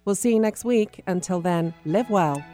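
A woman's voice: lowish voice, normal speed, mellow, faint music behind. A woman hosting a radio show, speaking to guests and listeners as a presenter. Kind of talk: radio interview and sign-off.

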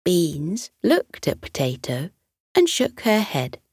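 A woman narrating a children's bedtime story in a soothing, deliberate, expressive manner. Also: on the low side, slightly rough, no echo, studio quality.

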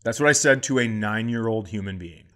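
A man's voice: on the low side, a little fast, a bit bright. A man co-hosting a comedy podcast, telling a long story in casual chat and acting out the other people's voices.

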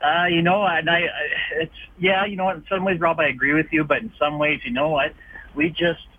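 A man's voice: a little high, fairly even intonation, a little fast, slight background noise. A man from Canada calling in to a radio show, indignant and rambling, over a phone line. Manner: indignant, rambling, many fillers. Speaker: caller.

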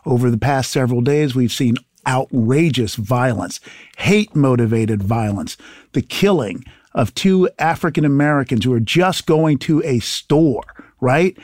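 A man appearing as a guest in a political interview, speaking in a measured, earnest, and firm way. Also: medium pitch, expressive, darkish tone, dry recording, clean studio sound. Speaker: guest, attorney general.

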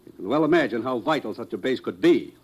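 An actor playing an authoritative male spy chief who briefs his agent in a measured way. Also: medium pitch, lively intonation, slightly rough. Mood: grave. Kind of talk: 1950s radio drama acting.

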